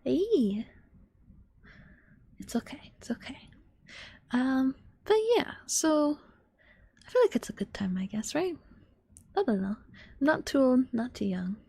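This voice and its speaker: A woman of twenty-one chatting to her stream in a soft ASMR whisper, rambling and giggly. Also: high voice, sing-song, measured pace, creaky, pauses often, breathy edge, no room sound.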